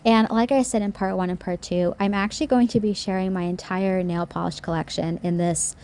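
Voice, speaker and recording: A woman's voice: medium pitch, fairly even intonation, fairly quick, a little mellow. A woman recording a casual nail-art video voiceover. Her voice is slightly scratchy from a sore throat, and she talks in a chatty, rambling way with frequent restarts.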